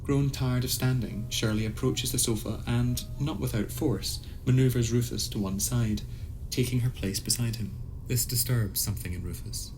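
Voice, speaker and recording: A man narrating a scripted surreal radio play in a formal, measured storytelling manner. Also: medium pitch, background noise. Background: music score.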